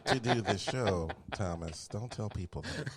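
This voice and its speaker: A man's voice: on the low side, a little slow. A man from Houston hosting a casual podcast chat, laid-back and jokey, laughing often. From Houston, Texas. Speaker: podcast host.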